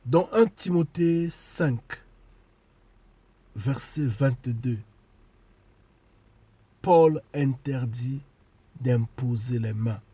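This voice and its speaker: Slow, husky man with a cold. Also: mid-range voice, mellow, no room sound. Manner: deliberate, emphatic, repeats key phrases. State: congested with a cold. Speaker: spiritual preacher. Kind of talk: video sermon to followers.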